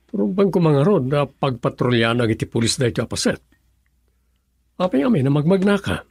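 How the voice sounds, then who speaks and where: Casting: concerned, respected gentleman for Ilocano radio drama; Filipino accent, animated delivery, close dry recording. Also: on the high side, fairly quick, gravelly, slightly rough, no room sound, heavily acted. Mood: concerned, insistent. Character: concerned gentleman driver, male, addressed respectfully as sir.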